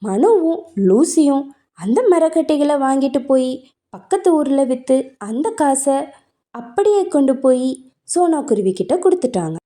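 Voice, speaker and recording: Casting: female children's story narrator, high and animated, with a very soft, warm tone. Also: moderate pace.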